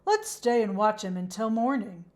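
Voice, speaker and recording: A woman's voice: medium pitch, big pitch swings, moderate pace, a little mellow, lightly acted. A woman voicing a droll, grumbly but lovable male horse in a storybook, complaining emphatically. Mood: tender, firm.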